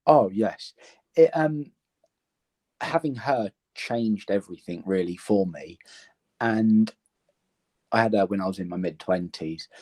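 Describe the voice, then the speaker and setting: Soft-spoken man with an English accent. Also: fairly low pitch. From Romania. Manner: earnest, thoughtful, many ums. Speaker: interview guest. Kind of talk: reflective conversation.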